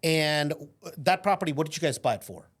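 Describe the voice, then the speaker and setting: A man hosting an interview podcast, asking questions in a blunt, lively way and often checking with "right?". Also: fairly high pitch, brisk. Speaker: podcast host. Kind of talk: conversational interview.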